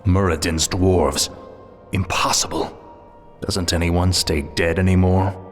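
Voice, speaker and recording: An actor playing a fallen prince turned undead death knight in a game, cold, haughty and commanding. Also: male voice, deep voice, fairly even intonation, takes it slowly, soft background music. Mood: irritated. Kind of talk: video game cutscene acting.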